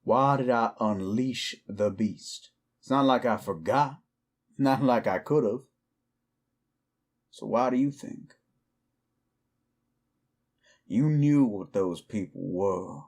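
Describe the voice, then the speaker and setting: An actor playing a weary, defiant cowboy outlaw werewolf in an audio roleplay, with a folksy, brooding American Southern or Western drawl. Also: male voice, mid-range voice, slow. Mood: challenging. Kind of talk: slow dramatic roleplay monologue to the listener.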